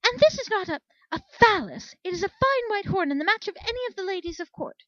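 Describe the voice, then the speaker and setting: A woman voicing a haughty, easily outraged unicorn mare in a storybook, sputtering and high-flown. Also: expressive, a little fast, fairly bright tone, no echo, highs cut off. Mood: indignant.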